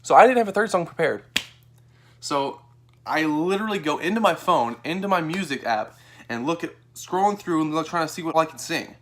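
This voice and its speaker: A young American man telling a personal story to camera in a casual, animated way, acting out what people said. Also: fairly quick. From Texas, United States. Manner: animated, acts out quoted dialogue in a higher voice. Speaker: vlogger.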